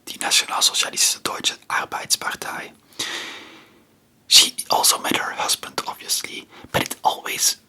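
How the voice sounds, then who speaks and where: A non-native English speaker hosting ASMR, chatting informally about history in a soft, breathy whisper with a rambling, hesitant manner. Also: normal speed. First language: not English. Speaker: ASMR video creator and host.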